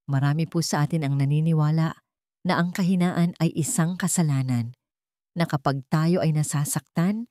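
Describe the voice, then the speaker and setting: A woman's voice: low voice, mellow, dry recording, studio quality, lightly acted. A woman narrator voicing a resilient grown daughter in a story, speaking in a solemn, heartfelt, respectful way. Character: resilient grown daughter, earnest, tender and grateful.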